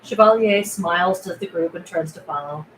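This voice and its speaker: A woman's voice: lowish voice, normal speed, fairly bright tone. A woman narrating the scene directions of a film script at a table read. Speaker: stage-direction reader. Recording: roomy echo with clear background noise.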